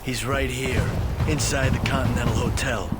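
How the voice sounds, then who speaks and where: An actor playing an arrogant professional mercenary in a military video game, condescending, curt and commanding. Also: male voice, medium pitch, steady tone, moderate pace, natural voice. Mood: scornful. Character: arrogant, abrasive, foul-mouthed professional mercenary man. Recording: loud music under the voice.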